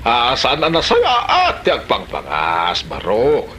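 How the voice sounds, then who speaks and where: A man with an Ilocano Filipino accent playing a boastful, excitable villager who stammers and backs down in a radio comedy drama. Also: medium pitch, slow pace, noisy background.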